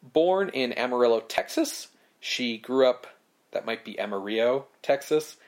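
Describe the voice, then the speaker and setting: Male co-host, mid-pitched, relaxed delivery of prepared film trivia. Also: measured pace. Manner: reads prepared facts, relaxed. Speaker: podcast co-host. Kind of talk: casual film history chat.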